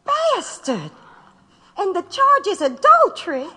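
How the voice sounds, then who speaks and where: Defiant female prisoner-activist played by a woman, audio drama: lively, high voice, earnest emphasis. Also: takes it slowly, exaggerated voice. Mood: indignant, earnest.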